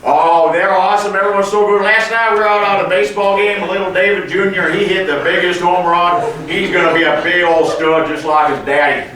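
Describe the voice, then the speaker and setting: Man putting on a high, hammy cowboy accountant, echoey room. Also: fairly even intonation, fairly quick, hardly any pauses. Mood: jovial, bragging. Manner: folksy drawling talk with broken grammar, loud and hammy. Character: excitable folksy cowboy accountant, eager, easily bought. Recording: echoey room, distant mic, background noise.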